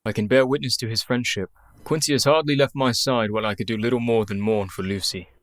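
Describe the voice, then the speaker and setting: A man's voice: medium pitch, steady tone, fast, no room sound, lightly acted. Casting: man as bereaved asylum doctor, stubborn and formal, mid-range voice, dry close recording, radio drama. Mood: weary, mournful. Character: grieving, skeptical male doctor running a sanitarium. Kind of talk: radio play acting.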